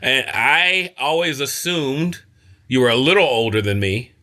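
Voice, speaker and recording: A man's voice: medium pitch, animated, unhurried, pushed and bright. A man in his mid-thirties co-hosting a podcast, talking in casual chat with lots of laughter and teasing. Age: 30s. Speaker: podcast co-host and college soccer coach.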